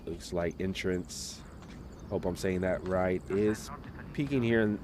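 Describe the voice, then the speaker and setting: Relaxed male esports caster, slight cold. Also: sits low, takes it slowly, slight background noise. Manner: relaxed, chatty, talks straight to viewers. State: getting over a slight cold. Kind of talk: live esports match commentary.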